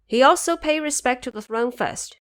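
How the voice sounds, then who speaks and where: A woman's voice: high-pitched, normal speed, a bit bright. A woman narrating a scripted audio drama in a storytelling style.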